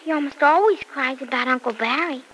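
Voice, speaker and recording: A woman's voice: high-pitched, fairly quick, a bit bright, slight background noise, low-quality recording. An actress playing an earnest, polite little girl with a simple childlike manner in an old-time radio serial. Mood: earnest, sad. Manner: simple, childlike, matter-of-fact.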